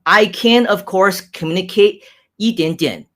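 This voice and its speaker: A man in his thirties with a fairly high voice hosts a casual live stream. He speaks mostly with an American accent that carries a faint Hong Kong tinge. His delivery is upbeat and chatty, with frequent repetitions as he reads out viewer comments. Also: a little slow, a bit bright. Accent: American with Hong Kong hints. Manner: upbeat, chatty, repeats phrases, laughs often.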